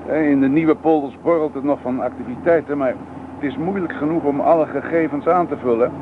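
An actor playing a courteous, middle-aged preacher in a radio play, genial, musing and enthusiastic. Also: male voice, on the high side, fairly quick, natural voice. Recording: noisy narrowband old radio play, car sounds.

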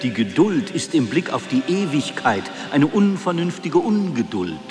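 An actor playing a stern sage who pronounces aphorisms in a measured way for a radio drama. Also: male voice, a little high, sing-song, a little mellow. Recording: long hall reverb, faint music.

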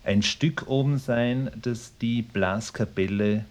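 An actor as a hesitant, uneasy local historian. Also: male voice, medium pitch, lightly acted. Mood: puzzled, hesitant. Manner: hesitant, halting, repeats himself. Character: evasive, anxious small-town male history teacher and amateur historian. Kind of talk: naturalistic mock-documentary radio interview acting.

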